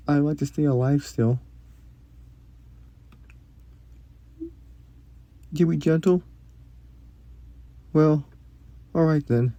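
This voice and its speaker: A man playing a polite, gentle young prince in a romantic fantasy audio roleplay, speaking formally and a little hesitantly. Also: on the high side, dry recording. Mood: nervous, hesitant. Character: young prince, courteous, gentle, shyly curious royal.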